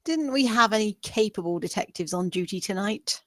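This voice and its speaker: A woman's voice: mid-range voice, expressive, brisk, fairly bright tone, no echo, studio quality, close to an everyday voice. A woman playing a scornful, commanding district attorney in a screenplay table read, speaking with cutting sarcasm and authority. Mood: scornful, controlled anger.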